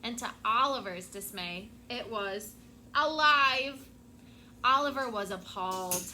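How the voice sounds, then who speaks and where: A woman teacher reading a picture book aloud to her class, expressive and stopping to prompt the children. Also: on the high side, slow pace, slight background noise.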